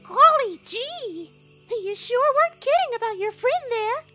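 A man voicing a small, excitable and friendly talking bunny in a folksy storybook cartoon style. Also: extremely high pitch, measured pace, loud music behind the voice, voice pushed up. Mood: amazed, cheery. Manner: cheery, folksy.

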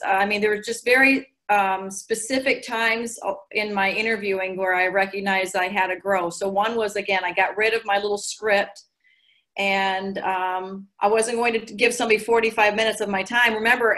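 A woman in her forties giving chatty, animated coaching advice over a video call from her living room. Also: mid-range voice. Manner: chatty and animated, gestures a lot. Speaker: guest recruiter. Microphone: video call laptop mic.

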